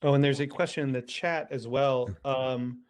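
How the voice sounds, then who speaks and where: A man's voice: mid-range voice, steady tone, normal speed, clean tone. Man, event moderator, casual, hesitant with fillers.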